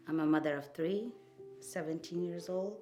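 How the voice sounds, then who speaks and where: A woman's voice: fairly low pitch, takes it slowly. A woman from South Sudan, a future homeowner, giving a plain, slightly hesitant personal testimony. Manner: plain, a little hesitant, with fillers. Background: soft guitar music.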